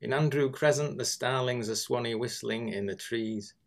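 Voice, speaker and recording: A British man reading observational prose aloud in a deadpan manner. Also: mid-range voice, moderate pace, gravelly. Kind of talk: literary reading, observational narration.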